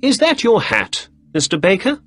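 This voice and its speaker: A man doing a bright, brisk detective. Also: sits high, huge pitch swings, faint music behind, voice pushed up, full character voice. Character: clever brisk male detective, middle-aged.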